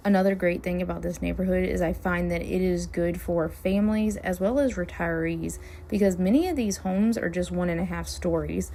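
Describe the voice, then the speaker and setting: A woman realtor, chatting casually while driving, her voice hoarse and scratchy as she loses it. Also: fairly low pitch, mellow. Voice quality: gravelly, hoarse. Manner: friendly, chatty, upbeat sales talk. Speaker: realtor hosting a neighborhood tour channel. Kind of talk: casual driving-tour vlog talk. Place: inside a car, driving.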